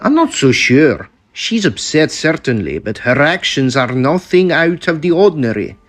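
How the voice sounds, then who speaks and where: A man playing a corruptible asylum doctor in an old-time radio melodrama, with a formal, measured manner. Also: a little high, very animated, a bit bright. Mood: doubtful. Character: corruptible asylum doctor, professional, easily bribed.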